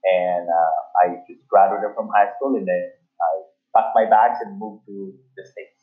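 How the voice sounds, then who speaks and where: A man's voice: low-pitched, steady tone, a little slow, soft background music. A middle-aged man from Ecuador with an Ecuadorian Spanish accent, telling his career story in an interview with many fillers and restarts. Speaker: sports agency founder. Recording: tinny phone-like line.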